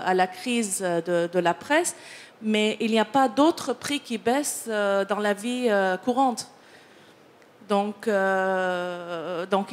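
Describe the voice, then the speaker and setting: Non-native woman panelist, halting delivery full of restarts, big hall reverb. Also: on the high side, a little mellow. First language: not French. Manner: hesitant, many fillers and restarts. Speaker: picture researcher. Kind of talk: panel discussion.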